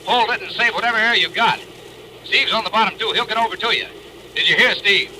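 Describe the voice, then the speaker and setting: An actor playing a concerned salvage ship captain calling out urgently in 1940s radio drama style. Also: male voice, high-pitched, a little fast, pushed and bright. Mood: urgent, alarmed. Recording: noisy old radio recording.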